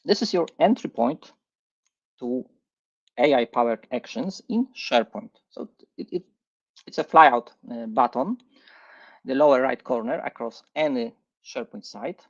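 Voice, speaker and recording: A middle-aged man with a Polish accent gives a live tech presentation, speaking haltingly and repeating words. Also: medium pitch, highs cut off. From Poland. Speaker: guest presenter. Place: online livestream session.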